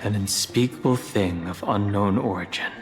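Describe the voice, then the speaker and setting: A man playing a thoughtful, analytical companion in a fantasy game, speaking in an earnest, measured way. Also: fairly low pitch, close to an everyday voice. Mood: pensive. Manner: measured, reflective. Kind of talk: dramatic game dialogue. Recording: long reverb, music behind.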